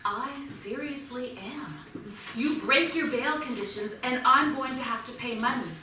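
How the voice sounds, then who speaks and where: A woman's voice: sits high, unhurried, bright, forceful tone. Woman acting stern, worried mother, echoey theatre. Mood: grave, firm. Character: worried, stern mother confronting her arrested teenage son. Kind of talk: staged play reading, naturalistic stage acting. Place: theatre stage at a staged reading. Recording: echoey theatre, distant mic, clear noise.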